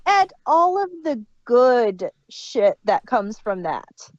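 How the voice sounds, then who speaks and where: A woman's voice: a little high, very animated, takes it slowly, no room sound, dull audio. A woman co-hosting a podcast, talking casually as a fan in discussion. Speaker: podcast co-host.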